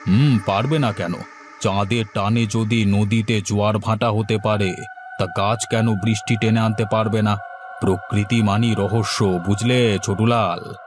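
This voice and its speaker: A man acts a pompous village wise man from a children's cartoon. He lectures slowly and knowingly, weighing each pronouncement. Faint music runs underneath. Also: on the low side, fairly even intonation, lightly acted. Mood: solemn. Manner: deliberate, lecturing. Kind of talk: Bengali children's cartoon dubbing.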